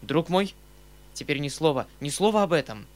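A man voicing an agitated middle-aged gentleman uncle from an audiobook novel, emphatic and rushed, flaring into commanding outbursts. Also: on the high side, animated, natural voice. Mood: earnest, pleading. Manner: emphatic, breathless, rushed exclamations in short bursts.

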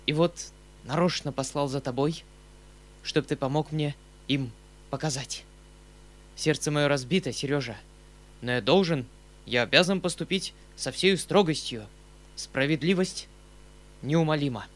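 Audiobook role: male reader as overwrought kindly uncle, higher-pitched, breathless, emphatic, insisting on his authority. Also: close to an everyday voice. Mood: tragic, resolute. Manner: emphatic, breathless, rushed exclamations in short bursts. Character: agitated middle-aged gentleman uncle, kind-hearted, flaring into commanding anger.

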